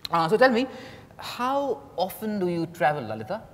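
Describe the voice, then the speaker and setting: A man's voice: high voice, wildly swooping pitch, normal speed, slight background noise. Man playing a composed writer, echoey hall. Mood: flirtatious, courteous. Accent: Indian. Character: composed male writer, Mriganko, courteous and bluntly critical. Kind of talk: dramatized stage reading of a play. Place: large hotel ballroom stage. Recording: echoey hall, stage mic from afar.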